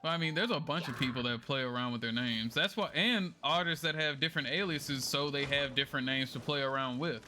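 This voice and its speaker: A man's voice: medium pitch, fairly quick, few pauses, bright, forceful tone, soft background music. A man talking casually and rambling a little as he livestreams a game. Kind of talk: casual gaming stream chat.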